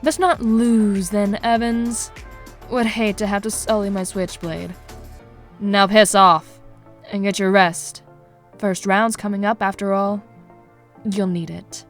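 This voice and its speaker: A woman voicing a sharp-tongued, menacing young clan fighter in a visual novel drama, with scornful and threatening delivery. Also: mid-range voice, fairly even intonation, slow, long pauses, faint music behind. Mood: irritated, threatening.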